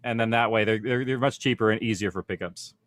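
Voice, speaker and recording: A man's voice: fairly low pitch, fast talker, a bit bright, dry recording. A man working in audio production, explaining things casually in an informal panel discussion.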